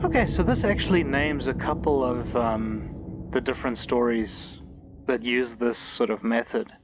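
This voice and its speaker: A man with a mid-pitched voice comments casually on a game he is playing. He thinks aloud in an off-the-cuff way. Loud water, boat and wind ambience runs behind him. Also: unhurried, highs cut off. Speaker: game reviewer playing through a visual novel. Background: game's boat, water and wind sounds.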